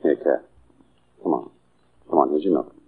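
An actor playing a gruff, menacing lodger in an old-time radio drama, speaking curtly. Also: male voice, very deep voice, moderate pace, narrow, tinny audio. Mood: coaxing. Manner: curt, gruff. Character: surly, menacing male boarding-house lodger, tender only to cats. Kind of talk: 1940s radio acting.

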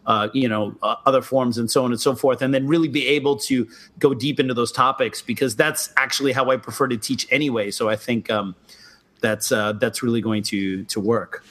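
Mid-pitched male co-host, measured and explanatory. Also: steady tone, fairly bright tone. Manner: explanatory, measured, mildly critical. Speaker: co-host, martial arts instructor. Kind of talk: casual podcast chat.

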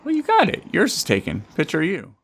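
A man's voice: high-pitched, huge pitch swings, fast, vocal fry, slightly rough. A man hosting a podcast in casual chat, teasing and often repeating himself. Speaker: podcast host.

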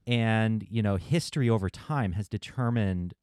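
A man's voice: lowish voice, moderate pace, darkish tone, no echo, clean studio sound. A man hosting an interview who answers his guest thoughtfully, with "I mean" and "you know" fillers. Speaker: podcast host. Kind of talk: conversational interview.

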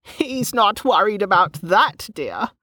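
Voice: mid-range voice, sing-song, slow, sharp tone, husky, dry recording, studio quality, voice pushed up, full character voice. A narrator voicing a teasing, bawdy old woman who runs a market stall, with a knowing manner, in an audiobook. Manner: teasing, knowing, calls people girl and dear. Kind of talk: audiobook character dialogue.

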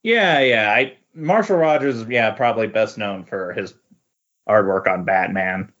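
A man speaking as a podcast guest in a casual comic book discussion, halting and often repeating words. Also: on the low side, fairly bright tone. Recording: dull lo-fi voice recording.

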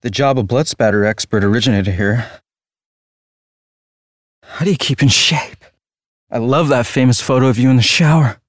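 A man's voice: medium pitch, moderate pace, pauses often, dry recording, studio quality, close to an everyday voice. A man playing an obsessive, crude true-crime fan in an audio drama, questioning insistently. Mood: goading. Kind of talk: dramatic audio play dialogue.

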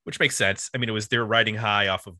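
Bright-voiced man, casual podcast host. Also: on the low side, quick pace, dry recording, studio quality. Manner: rambling, uses 'like' constantly. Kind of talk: casual music discussion.

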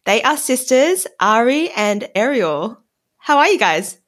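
A woman's voice: fairly high pitch, big pitch swings, measured pace, a bit bright. A woman speaking as a podcast host in a casual, conversational style.

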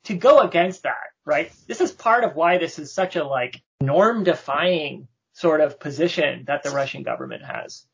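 Guy on a panel pushing his point hard, raspy gravelly voice, kinda high, lo-fi sound. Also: expressive, moderate pace, few pauses, fairly bright tone. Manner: emphatic, argumentative, seeks agreement with "right?". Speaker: panel guest. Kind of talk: panel discussion.

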